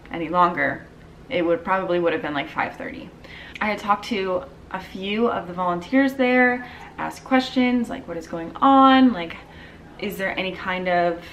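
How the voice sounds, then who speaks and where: A woman's voice: on the low side, a bit bright, far from the mic, slight background noise, poor audio. A young woman in her twenties telling a casual storytime vlog at home, chatty with lots of "like" and "um". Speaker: vlogger. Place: dim living room at home.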